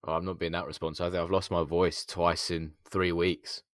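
A man with a hoarse, gravelly voice, co-hosting a podcast in casual chat, laughing a lot and stumbling over his words. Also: deep voice, flat delivery, brisk, fairly bright tone, no room sound, clean studio sound. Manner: laughs often, stammering restarts. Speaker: podcast co-host.

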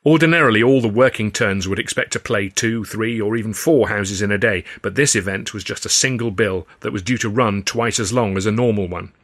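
A man reading a scripted first-person story as an audiobook narrator, in an expressive storytelling manner. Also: fairly low pitch, a little fast, hardly any pauses.

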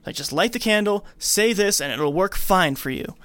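Man voicing chatty, coaxing seance woman, pitched up. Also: expressive, moderate pace, fairly bright tone, dry recording. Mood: reassuring, urging. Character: friendly, reassuring woman leading a seance.

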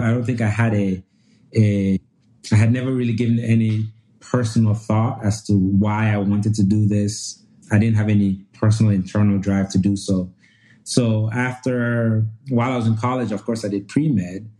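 Nigeria se aaye tees ke dashak ke aadmi, dheemi naram aawaz, araam se baat karta hai. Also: male voice, fairly low pitch, steady tone, normal speed, warm tone. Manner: talks with frequent restarts and repeated phrases. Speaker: guest pulmonologist. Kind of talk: relaxed conversational storytelling.

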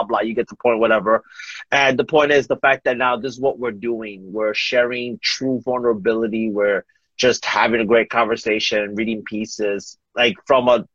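A man hosting a casual podcast chat, informal and halting, often repeating his words, with a husky voice. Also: medium pitch, a bit bright, no room sound. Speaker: podcast host. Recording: muffled lo-fi dry audio.

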